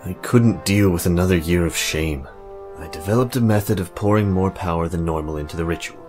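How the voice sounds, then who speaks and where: Man acting low-voiced formal scholarly young mage. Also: darkish tone, close to an everyday voice. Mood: grave, explanatory. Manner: formal, explanatory, measured. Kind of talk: visual novel character acting. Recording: music bed behind the voice.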